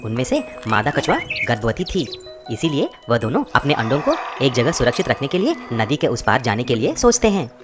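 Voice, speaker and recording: Low, lively woman storyteller. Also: brisk. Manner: expressive storytelling. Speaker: narrator. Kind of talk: children's story narration. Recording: music bed behind.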